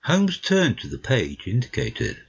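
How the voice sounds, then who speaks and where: A man's voice: medium pitch, wildly swooping pitch, unhurried, gravelly, husky, highs cut off. A man reading scripted story narration as an audiobook narrator.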